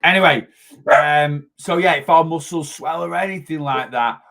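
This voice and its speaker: A man, a weight loss coach chatting casually in a vlog, a little hoarse from losing his voice and rambling as he goes. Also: fairly high pitch, normal speed, fairly bright tone. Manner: chatty, rambling, self-interrupting.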